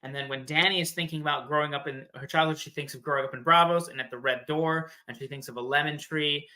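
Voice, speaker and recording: A man's voice: fairly high pitch, steady tone, fast, bright, forceful tone. A man in a casual fan discussion, explaining things in a slangy, playful way as a podcast guest.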